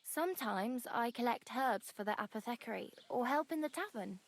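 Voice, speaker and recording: A woman's voice: on the high side, expressive, normal speed. A woman playing a friendly, plucky young wandering beggar in a medieval video game, chatty and wry. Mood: confiding. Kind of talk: video game dialogue acting.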